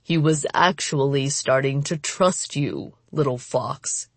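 A woman voicing a cruel, mocking male demon in an audiobook, speaking in a slow, menacing, gloating way. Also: low voice, no echo. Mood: taunting. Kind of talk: dramatic audiobook character voice.

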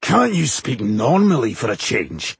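Man acting a gruff, husky, surly dwarf. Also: mid-range voice, huge pitch swings, a little slow, dry recording, full character voice. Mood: irritated. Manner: growling, muttering. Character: gruff, surly, scornful male dwarf warrior.

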